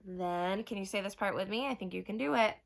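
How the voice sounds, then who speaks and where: A woman storyteller reading a storybook aloud to children, expressive, with asides and questions to her young listeners. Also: medium pitch, a little fast, vocal fry.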